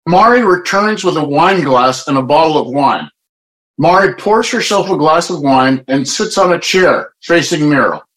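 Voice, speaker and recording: A male announcer narrates scripted action for an audio play. His voice sits fairly high for a man and moves with lively intonation. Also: normal speed, poor audio. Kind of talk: scripted narration of stage directions.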